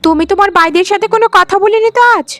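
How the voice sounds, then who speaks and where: Very high-pitched, spiteful young wife played by a woman. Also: racing, no echo, faint music behind. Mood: threatening, bossy. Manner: scolding, haughty, contemptuous. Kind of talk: cartoon voice acting in a family drama.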